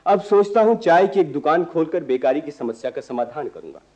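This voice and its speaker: Wry young man, fairly high-pitched. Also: lively intonation, brisk, darkish tone. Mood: teasing. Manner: bantering, literary turns of phrase. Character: wry, philosophical unemployed young man, teasing idealist. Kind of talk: radio play acting. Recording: dull lo-fi archive radio recording.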